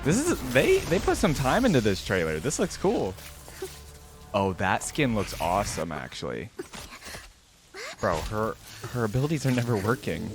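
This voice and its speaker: A man's voice: on the low side, huge pitch swings, moderate pace. A man hosting a reaction video in a casual, slangy, chatty and enthusiastic way. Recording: music playing behind the voice. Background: music from the videos being watched.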